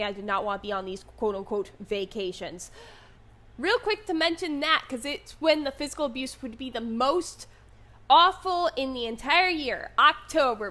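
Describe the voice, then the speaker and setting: A young woman with a very youthful, high-pitched voice and a bright, forward tone. She talks casually and candidly, as in a personal monologue, dropping in wry asides as she goes. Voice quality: high, youthful voice, sometimes mistaken for a child's. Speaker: online content creator telling their own story.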